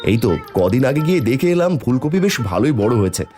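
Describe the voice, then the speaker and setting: Man as hardworking cartoon farmer, kindly father, medium pitch, very expressive, hopeful, light music behind. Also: brisk, lightly acted. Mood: warm, determined. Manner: animated, warm to family. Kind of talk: cartoon voice acting.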